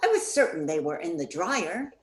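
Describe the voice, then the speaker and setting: An older woman playing a practical, exasperated housewife in a comic radio play, expressive and placating. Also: on the low side, moderate pace, reverberant. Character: exasperated, practical housewife soothing her fussy husband.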